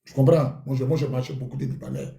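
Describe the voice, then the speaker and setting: A man's voice: medium pitch, steady tone, fast, creaky, mellow. Chatty male host in an echoey room. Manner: chatty, repetitive, probing. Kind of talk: live audio room moderation.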